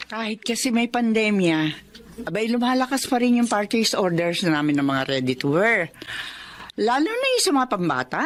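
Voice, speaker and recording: A woman's voice: mid-range voice, sing-song, normal speed, natural voice. A woman playing a kindly, generous middle-aged aunt in a Filipino radio drama, chatty and reassuring. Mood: encouraging.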